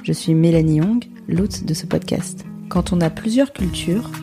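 A woman from France hosting a podcast, reading her scripted introduction in a measured way. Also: fairly low pitch, warm tone, soft background music. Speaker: podcast host.

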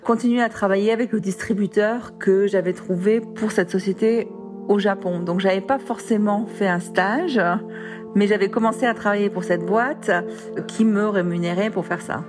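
A woman's voice: medium pitch, normal speed, over music. A woman in her fifties, an executive guest, telling her career story in a casual interview, chatty and dropping English words in. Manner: chatty, sprinkles English words and lots of "en fait".

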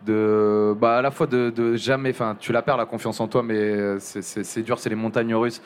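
Young male interviewee, relaxed and hesitant, resonant voice. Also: fairly low pitch, smooth. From Montpellier area. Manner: halting, many restarts and fillers. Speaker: journalist guest. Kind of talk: casual interview talk.

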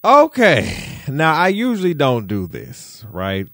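A podcast host from Detroit doing solo commentary, roasting people in a slangy, playful way with lots of repetition. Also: male voice, fairly high pitch, wildly swooping pitch, slow pace, noisy background.

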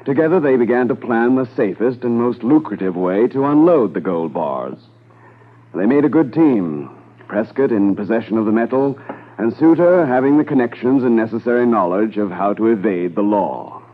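A man narrating a 1950s radio crime drama in a measured, scripted style. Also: medium pitch, expressive, close to an everyday voice. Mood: measured storytelling. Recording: vintage radio transcription, muffled, faint music behind.

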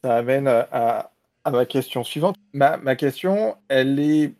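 Mellow-voiced man hosting an interview. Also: mid-range voice, lively intonation. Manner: measured, rephrases questions with restarts. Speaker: podcast host.

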